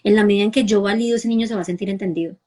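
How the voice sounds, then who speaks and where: A woman's voice: mid-range voice, very fast. Emphatic Bogotá Colombian woman. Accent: Bogotá Colombian Spanish. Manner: warm, emphatic, repeats and asks "¿sí?" for agreement. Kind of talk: conversational talk.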